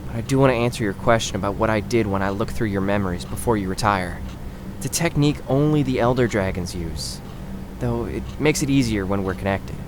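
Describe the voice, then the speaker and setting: A man's voice: lowish voice, background noise, close to an everyday voice. A man playing a tired, blunt fantasy adventurer in an audio drama, firm and explanatory.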